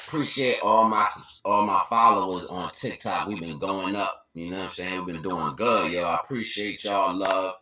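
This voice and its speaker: Casting: American male livestreamer, deep register, bright edgy tone, loose slangy chat. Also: moderate pace, few pauses. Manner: informal, slangy, repeats catchphrases. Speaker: livestream host and independent musician. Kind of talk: casual livestream chat to followers.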